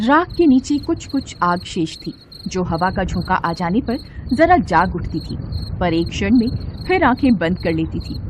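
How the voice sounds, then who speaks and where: A woman narrating a literary story as an expressive scripted reading. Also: medium pitch. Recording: steady insect-like background noise.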